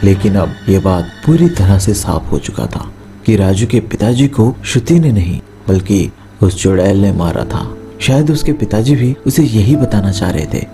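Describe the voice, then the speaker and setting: A man's voice: on the low side, big pitch swings, normal speed, warm tone. A man narrating a scripted horror story as a storyteller. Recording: music behind the voice. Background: background music.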